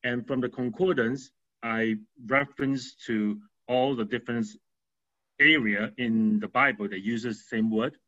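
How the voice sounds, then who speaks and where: A man in a class discussion, speaking haltingly and searching for words. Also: medium pitch, fairly even intonation, sharp tone. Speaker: class participant. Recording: muffled, lo-fi.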